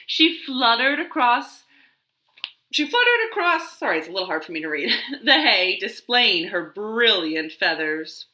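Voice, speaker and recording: A woman's voice: fairly high pitch, sing-song, unhurried, bright, forceful tone. A woman hosting a children's storytime from a living room, talking expressively and simply to young children and reading aloud. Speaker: library storytime host. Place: indoors, living room at home. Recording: roomy home living-room echo.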